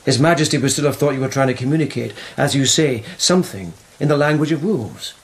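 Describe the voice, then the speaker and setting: A man plays a shrewd courtly questioner in a radio period drama. His voice is mid-pitched, and he probes in measured, wry, insinuating phrases. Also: lively intonation, close to an everyday voice. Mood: sardonic.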